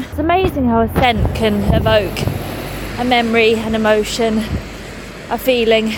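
A middle-aged woman, a comedian chatting casually to camera on her tour vlog, excitable and gushing. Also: on the high side, noisy background. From England.

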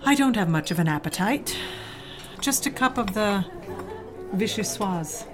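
A woman's voice: medium pitch, animated, measured pace, soft background music, close to an everyday voice. A woman playing a cold, disapproving older society mother in an audio drama, haughty and clipped in manner. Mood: withholding, stern.

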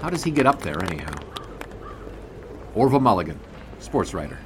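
An actor playing a wry, bemused 1920s newspaper sports writer, narrating in measured, formal and wordy prose for an audio drama. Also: male voice, low voice, sing-song. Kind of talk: scripted first-person narration in audio drama.

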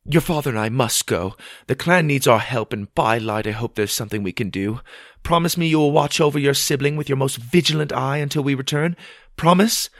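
A man's voice: mid-range voice, brisk, no echo, clean studio sound, voice pushed up, close to an everyday voice. A man voicing a spirited, sarcastic fairy mother who talks in a bossy, scornful way. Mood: urgent.

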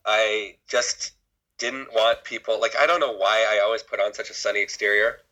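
A man's voice: lowish voice, steady tone, normal speed, sharp tone, poor audio. A middle-aged man, a former ski coach, talking openly and emotionally in a personal conversation, swearing freely and often restarting his sentences.

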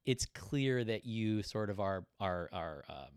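A man's voice: fairly low pitch, expressive, slow pace, smooth, no room sound, clean studio sound. A man hosting a conversational interview, framing questions thoughtfully with searching phrasing and many fillers. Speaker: podcast host.

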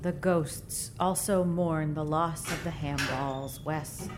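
A woman's voice: low voice, fairly even intonation, slow. An actress playing a blunt, stubborn, dramatic older mother with a salty tongue in an audio drama. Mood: dry, matter-of-fact. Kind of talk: naturalistic audio drama dialogue. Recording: audio drama with loud background noise.

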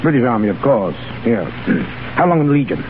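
An actor playing a gruff, easily amused Foreign Legion commandant in 1930s radio style, barking out clipped questions. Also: male voice, mid-range voice, big pitch swings, normal speed, phone-quality sound, lightly acted. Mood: amused, approving. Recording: thin, noisy 1930s radio recording.

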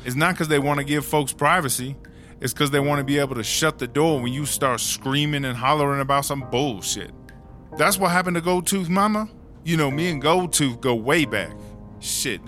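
A man's voice: medium pitch, fairly quick, sharp tone, natural voice. A man playing a streetwise, long-serving woman prisoner who tells stories in slangy, sweary talk for a comedy audio drama. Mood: candid, chatty. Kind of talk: casual first-person storytelling in a comedy audio drama. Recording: music under the voice.

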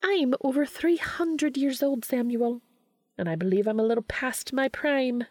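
Lady doing an old haughty witch, arch n scolding, voice goes up high, story reading. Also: unhurried, darkish tone, no echo, studio quality. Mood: withering. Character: haughty, exasperated centuries-old female witch.

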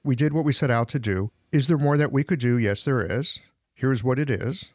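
A man hosting a podcast, a practitioner chatting in a relaxed, folksy way with everyday analogies. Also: medium pitch, normal speed, no room sound, clean studio sound. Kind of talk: casual professional conversation.

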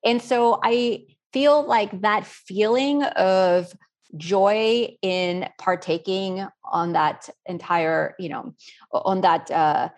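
A woman's voice: mid-range voice, slow pace. A middle-aged woman from Spain answering interview questions in an earnest, rambling, conversational way. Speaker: interview guest, self-taught cook.

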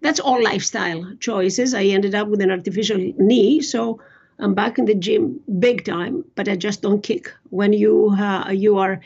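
A woman's voice: mid-range voice, steady tone, normal speed, a little mellow, husky, dull audio. A woman in her sixties from Greece with a Greek accent, speaking as an interview guest in a blunt, emphatic, self-assured conversational way. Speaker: interview guest, physician and author. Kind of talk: conversational personal storytelling.

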